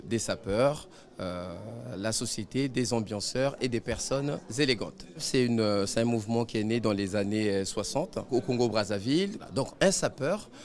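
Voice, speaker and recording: A man being interviewed, halting with fillers as he explains. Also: mid-range voice.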